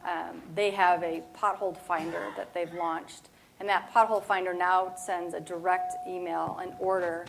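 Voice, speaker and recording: Woman panelist, lowish voice, loud and chatty, conference room mic, bit of background hiss. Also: moderate pace, creaky. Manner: energetic, conversational, self-describes loud voice. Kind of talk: panel talk. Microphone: clip-on mic, then handheld.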